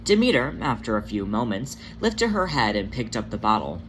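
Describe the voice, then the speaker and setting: An amateur narrator reads fiction expressively in a medium-pitched voice that sits between typically male and female. The home recording has clear background noise. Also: very animated, normal speed, fairly bright tone. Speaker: podfic narrator and channel host.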